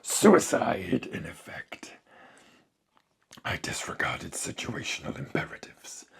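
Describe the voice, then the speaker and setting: A man voicing a sinister alien parasite inside a corpse, in a hoarse, whispery croak with clipped, halting phrases, for a horror story reading. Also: medium pitch, full character voice. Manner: halting, clipped telegraphic speech, savouring words. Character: alien parasite speaking through a corpse, sinister, coldly gloating.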